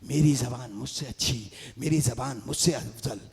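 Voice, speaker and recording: A man with an Urdu accent delivering a majlis sermon, rising to shouts and sung phrases as he calls out to the gathering. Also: medium pitch, moderate pace, creaky, crowd noise. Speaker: majlis orator. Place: large religious gathering hall. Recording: long hall reverb.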